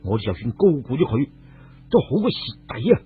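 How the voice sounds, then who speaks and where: A man's voice: medium pitch, sing-song, a little fast, close to an everyday voice. A man voicing a cautious, decisive hero who leads his men in a radio story. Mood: wary. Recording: muffled phone-like radio audio, music behind.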